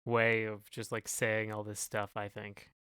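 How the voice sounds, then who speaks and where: A man's voice: lowish voice, monotone, unhurried, a bit bright, clear, resonant tone, no echo, clean studio sound. A man co-hosting a podcast in casual discussion, hedging and thinking aloud as he goes. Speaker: podcast co-host. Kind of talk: casual discussion of song lyrics.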